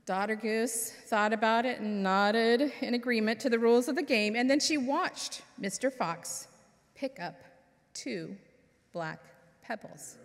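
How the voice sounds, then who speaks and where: A woman minister reading a children's story expressively, with dramatic pauses, in a large church hall. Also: a little high. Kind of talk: expressive storytelling for all ages. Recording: echoey hall with long reverb.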